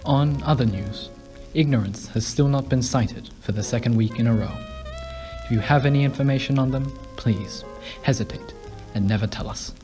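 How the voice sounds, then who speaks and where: A man's voice: medium pitch, normal speed, close to an everyday voice. A man playing a smug, theatrical demon who hosts a news broadcast in hell, speaking with suave, sardonic mock politeness over music. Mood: breezy, sardonic. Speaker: radio news host. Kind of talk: scripted comedic radio broadcast. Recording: music under the voice.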